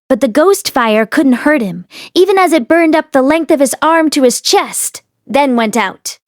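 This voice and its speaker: Casting: female audiobook narrator, higher register, expressive read-aloud delivery, dry close recording. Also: dry recording.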